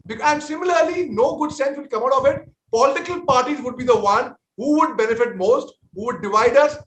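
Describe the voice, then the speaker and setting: Indian male panelist, 40s, high-pitched, sincere and peacemaking, echoey room. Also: fairly quick, a bit bright, a little hiss or hum. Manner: earnest, conciliatory, often repeats and restarts words. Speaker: army veteran panelist on a TV debate. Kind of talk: televised panel debate.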